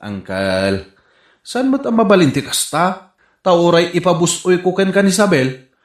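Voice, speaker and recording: A frank young nephew acted by a man, respectful and reasoning in a comedy radio drama. His slightly high voice has a northern Philippine accent and an echoing room sound. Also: very animated, unhurried. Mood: earnest, reasoning. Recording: roomy, echoing voice recording.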